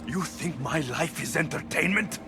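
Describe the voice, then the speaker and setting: An actor playing a brooding, hot-tempered young mercenary warrior in a video game. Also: male voice, a little high, normal speed, close to an everyday voice. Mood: cold, threatening. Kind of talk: video game cutscene acting. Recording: background music under the voice.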